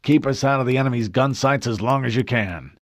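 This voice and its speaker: A man voicing a gruff, commanding older male admiral who barks orders. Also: medium pitch, fairly quick, no room sound, clean studio sound, pitched above the performer's own voice, natural voice. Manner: barking orders, forceful.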